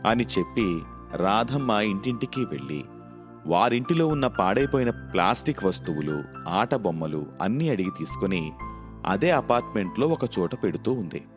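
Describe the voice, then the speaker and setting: A male narrator reads a scripted storybook tale. His voice sits fairly low, and music plays behind it. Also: big pitch swings, moderate pace.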